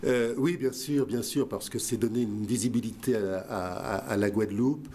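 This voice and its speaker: A man's voice: fairly low pitch, normal speed, a crowd in the background. A man guest on a talk show, speaking earnestly with many fillers and restarts. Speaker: guest, museum director. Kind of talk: radio talk show interview.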